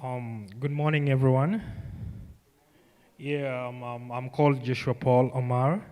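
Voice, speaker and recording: A man's voice: mid-range voice, steady tone, a little slow, a little mellow, smooth. Ugandan man speaking in a reverberant hall. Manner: conversational storytelling with frequent "you know" and "like". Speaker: programme graduate giving a speech. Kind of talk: informal personal speech to an audience. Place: event hall, live on stage.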